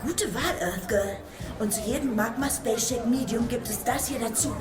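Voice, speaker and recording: A busy restaurant crowd and music sit behind an eager alien counter worker played by a woman. The voice is high and gushes like a starstruck fan. It is children's radio drama with a playful creature feel. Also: normal speed, reverberant, lightly acted. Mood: chirpy service. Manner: gushing, eager. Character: excitable many-armed alien burger clerk, starstruck fan. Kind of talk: children's radio play acting.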